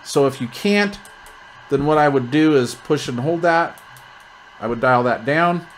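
A man's voice: on the high side, a little slow, a little hiss or hum. A man talking casually through a hands-on product demonstration, explaining features step by step as a reviewer.

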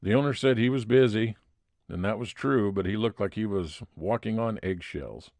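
A friendly police detective acted by a man in an old-time radio drama. He chats familiarly in a low voice, recorded dry. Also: normal speed, darkish tone, dry recording, close to an everyday voice. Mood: chatty. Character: Detective Eddie, friendly chatty male police detective.

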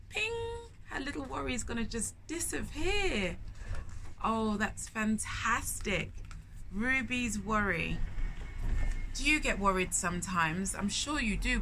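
A woman in her thirties reading a picture book aloud to young children, with expressive drawn-out emphasis and questions put to the listener. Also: medium pitch, slight background noise, low-quality recording. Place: indoors in a bookshop, in front of shelves.

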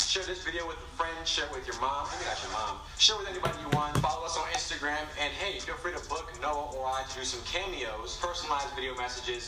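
Prank channel host: young man, slightly high voice, echoey replayed sound over music. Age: young adult. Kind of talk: upbeat video intro presenting. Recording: roomy, distant, replayed video audio.

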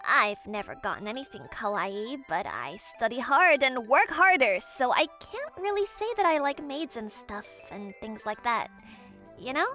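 A woman voicing a bashful but feisty, secretly sweet teenage schoolgirl maid in a manga romcom dub, shy and halting. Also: on the high side, sing-song, pushed and bright. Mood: earnest, bashful. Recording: music behind the voice.

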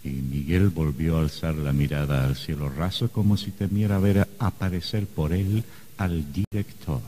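Very deep, husky male narrator. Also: fairly even intonation, moderate pace, mellow. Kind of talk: scripted radio drama narration.

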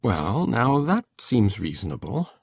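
A woman voicing a shy, gentle older bachelor farmer in a slow, halting, plain-spoken way for an audiobook. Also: extremely low pitch, big pitch swings, vocal fry, breathy edge, voice pushed down, exaggerated voice. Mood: shyly agreeable. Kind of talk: dramatic audiobook reading.

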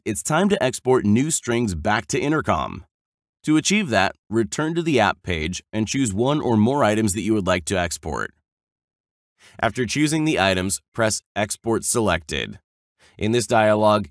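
A man reading a scripted software tutorial, explaining clearly step by step as an instructional narrator. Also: lowish voice, very animated, moderate pace, no room sound, studio quality. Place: close, dry voice-over recording.

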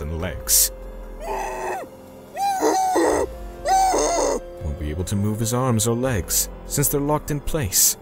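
A man playing a cold, righteous torturer for hire who narrates a manga dub in a measured, grim way. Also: deep voice. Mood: clinical.

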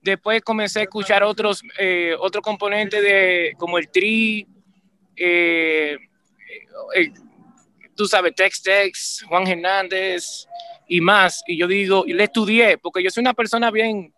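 Man, Caribbean Spanish accent, high bright voice, lively, webcam call. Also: unhurried, clear, resonant tone. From Puerto Rico and Dominican Republic. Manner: animated, repeats "¿me entiendes?", restarts often. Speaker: band leader, musician guest. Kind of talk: casual interview chat. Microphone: video call webcam.

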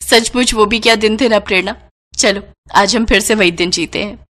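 A woman's voice: mid-range voice, normal speed, a bit bright, natural voice. A woman voicing a wealthy, caring young friend, chatty and emphatic, in a cartoon moral story. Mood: encouraging.

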